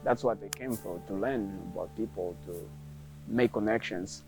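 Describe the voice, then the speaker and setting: A man's voice: lowish voice, moderate pace, a little mellow, faint music behind. A male graduate student from Angola, speaking in a thoughtful, earnest way in an interview.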